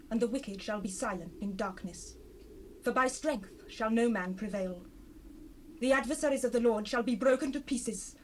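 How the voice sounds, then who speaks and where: A woman's voice: on the high side, lightly acted. A woman playing a devout, sorrowful biblical wife in a dramatized scripture reading, speaking fervently in formal archaic language on an old cassette. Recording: noisy unprocessed 1970s cassette rip.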